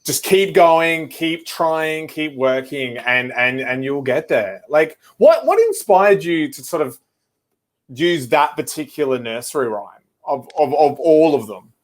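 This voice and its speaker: A man's voice: a little high, lively intonation, a little slow. A man hosting a children's show, chatting casually with enthusiastic, encouraging reactions.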